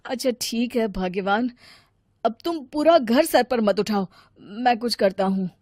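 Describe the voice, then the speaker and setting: Woman playing a harried husband, high-voiced. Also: unhurried, a little mellow, dry recording, clean studio sound. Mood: placating. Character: harried, placating husband and father, short of money. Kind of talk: animated moral story dialogue.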